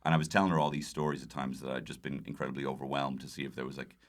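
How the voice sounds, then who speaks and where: A man with an Irish accent and a very deep voice. He talks casually as a guest comedian, wandering through his stories with a self-deprecating touch. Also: flat delivery, very fast. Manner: rambling, self-deprecating storyteller. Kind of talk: casual anecdotal chat.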